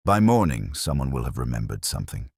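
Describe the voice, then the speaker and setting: A man performs a thoughtful police inspector from an audio mystery. He is very deep and formal, with plenty of rise and fall as he delivers dry, mild understatement. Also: big pitch swings, husky, no room sound, exaggerated voice. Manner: measured, formal, dryly understated.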